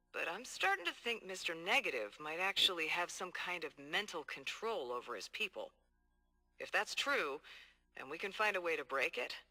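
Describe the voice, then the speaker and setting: An actor playing a determined, hard-boiled police detective dictating earnest, streetwise case logs in a video game. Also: male voice, a little high, sharp tone, heavily acted. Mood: analytical, concerned. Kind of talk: video game audio log monologue.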